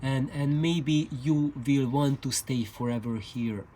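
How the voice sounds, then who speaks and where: A man's voice: medium pitch, slow, mellow, slight background noise. A man giving an informal explainer talk in a hesitant, meandering way, often repeating his words. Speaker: video presenter on loudspeaker building.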